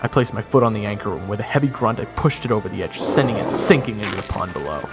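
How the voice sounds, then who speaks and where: A man's voice: on the low side, lively intonation, fast. Male dramatic storyteller with long reverb. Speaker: narrator and hero of an audio roleplay. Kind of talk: first-person dramatic scripted narration. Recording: long reverb, music under the voice.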